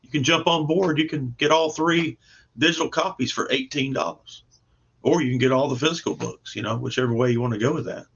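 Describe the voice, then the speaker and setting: A man's voice: medium pitch, fairly quick, gravelly, fairly bright tone, husky. A man chatting casually as an interview guest, earnest and plainspoken, explaining his comic book project on a video call. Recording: dull, lo-fi video call audio.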